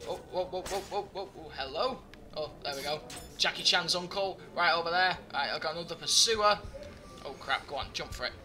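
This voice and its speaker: A man's voice: a little high, a little fast, pushed and bright. A young man giving live gameplay commentary, chatty and joking, his voice slightly groggy. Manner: chatty, joking, talks to himself while playing. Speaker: gaming commentator.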